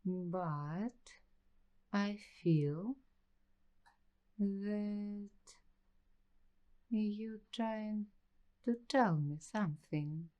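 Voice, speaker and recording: Very slow, hushed ASMR woman. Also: medium pitch, muffled recording. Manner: hushed, secretive, deliberate, drifting into whispers. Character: paranoid young waitress investigating a creepy attic mystery. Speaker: ASMR roleplay performer. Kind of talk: ASMR roleplay, slow scripted monologue.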